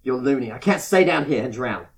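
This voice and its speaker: Sarcastic, exasperated diver played by a male actor, rapid delivery, audio drama, anxious to escape. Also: medium pitch, animated, lightly acted. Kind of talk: amateur audio drama acting.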